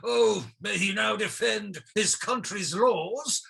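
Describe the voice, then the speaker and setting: A performer acts out a pompous Justice from a mock-heroic stage play. The delivery is very slow and grandiose, in a bright but husky voice. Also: medium pitch, exaggerated voice. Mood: solemn, grand. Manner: grandiose, declamatory. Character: pompous Justice, long-lost parent in a mock tragedy.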